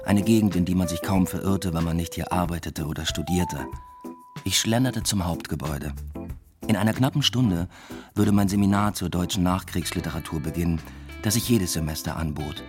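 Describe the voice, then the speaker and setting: A man's voice: low voice, moderate pace, close to an everyday voice. An actor playing a weary, ironic male lecturer who narrates his own story in a radio play with dry, reflective understatement. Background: music.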